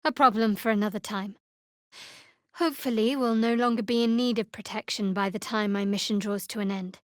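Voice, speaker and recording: An actress playing a guarded, dryly sardonic young woman cleric of a dark goddess in a fantasy video game. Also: mid-range voice, fairly even intonation, fairly quick, no echo, clean studio sound, lightly acted. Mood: guarded, measured.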